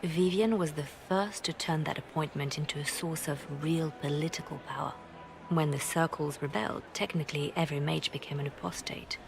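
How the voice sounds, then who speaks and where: An actress playing a calm, businesslike female spymaster in a video game cutscene. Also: low-pitched, brisk, gravelly. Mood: brisk. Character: cool scheming female spymaster. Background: music.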